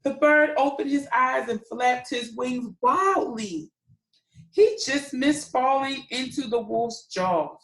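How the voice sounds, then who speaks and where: A woman's voice: high voice, takes it slowly, fairly bright tone. A woman hosting a children's storytime, reading and explaining words simply in an encouraging way to young listeners. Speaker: children's read-aloud host and teacher. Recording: echoey room.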